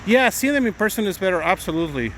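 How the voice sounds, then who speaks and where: A man's voice: sits high, sing-song, quick pace, a bit bright, slight background noise, rough recording. A middle-aged Mexican-born man with a Mexican accent, chatting loosely on a live stream, rambling with many restarts as he walks outdoors. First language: Spanish. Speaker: attorney vlogger. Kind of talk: casual live-stream chat. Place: outdoors on a morning walk.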